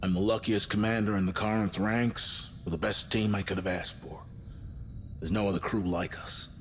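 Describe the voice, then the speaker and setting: An actor playing a middle-aged spaceship commander in a game, measured, formal and encouraging with his crew. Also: fairly low pitch, fairly even intonation, slight background noise, close to an everyday voice. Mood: proud, wistful. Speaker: mission commander. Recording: long hall reverb, faint music behind.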